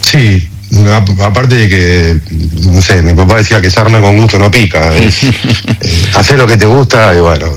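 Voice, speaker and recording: A low-pitched Argentine man speaks as an interview guest on the radio. His delivery is informal and passionate, and he often adds little tags to check that the listener follows him. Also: normal speed. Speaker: interview guest, concert promoter.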